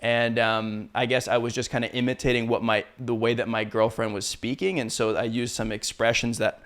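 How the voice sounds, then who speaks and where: A man from Canada hosting a casual interview, relaxed and chatty. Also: medium pitch, fairly quick. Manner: relaxed, chatty, laughs often. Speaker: podcast host.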